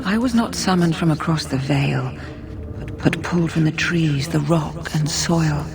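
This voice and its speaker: A woman playing an ancient, compassionate female forest spirit in a fantasy video game, speaking in a measured, solemn way. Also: deep voice, vocal fry, crowd noise.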